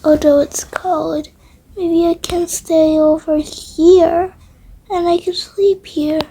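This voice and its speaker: A woman's voice: extremely high pitch, flat delivery, slow, mellow. A female video creator improvising a roleplay aloud, often dropping into hesitant, half-whispered asides to herself. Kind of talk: improvised game roleplay narration. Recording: faint background noise.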